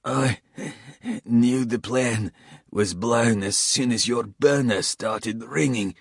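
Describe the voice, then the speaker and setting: A man voicing a wounded, defiant South African male traitor, pushing his voice higher and spitting the words out. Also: takes it slowly, gravelly, breathy edge, no echo, studio quality, exaggerated voice.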